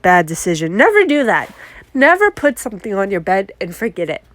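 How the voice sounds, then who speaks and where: A congested woman telling casual, animated stories about her art mishaps as a vlogger. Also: mid-range voice, a little fast, no echo. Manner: chatty, animated, acts out her own exclamations. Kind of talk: casual story time.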